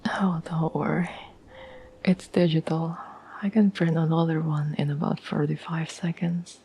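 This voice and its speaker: A woman playing a tender, devoted girlfriend in a whispered ASMR roleplay, speaking softly and gently with earnest, halting reassurance. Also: deep voice, little pitch movement, slight background noise. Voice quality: soft, breathy whisper.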